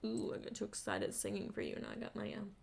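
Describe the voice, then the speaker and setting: A woman, chatting casually to her viewers while unboxing a restock. She giggles often, and her voice is gravelly from being sick with the flu. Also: mid-range voice, very animated, fast talker, a little hiss or hum. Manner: bubbly, giggly, talks to viewers. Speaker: vlogger and English teacher. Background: crinkling packaging.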